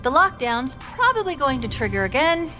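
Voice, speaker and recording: A bright-spirited young AI companion from a game. Her high voice bounces through wry quips, with a musical score behind her. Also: very animated, moderate pace, faint music behind, full character voice. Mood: playful, wry. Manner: wry, bubbly teasing. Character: young, playful female AI companion, confident and quippy. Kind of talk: video game cutscene acting.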